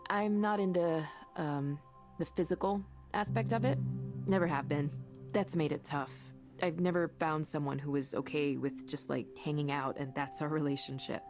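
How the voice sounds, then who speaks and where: An actress playing an earnest, kind and self-assured woman in a visual novel, speaking in a natural, gently encouraging conversational way. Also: deep voice, fairly even intonation, normal speed, music in the background, close to an everyday voice. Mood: candid, reflective.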